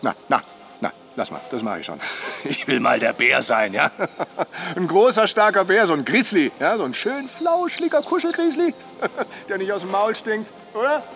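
An actor playing a boisterous, jovial middle-aged husband in a naturalistic radio drama, garrulous and bantering. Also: male voice, high voice, lively intonation, normal speed, a bit bright. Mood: teasing, swaggering.

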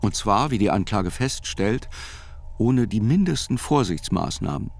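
Low-pitched, measured male narrator. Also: expressive. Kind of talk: scripted literary narration of a trial chronicle.

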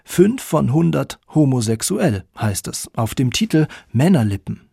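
A man's voice: medium pitch, sing-song, measured pace, gravelly, warm tone, husky, no room sound, clean studio sound. A man reading scripted narration as the narrator of a radio documentary.

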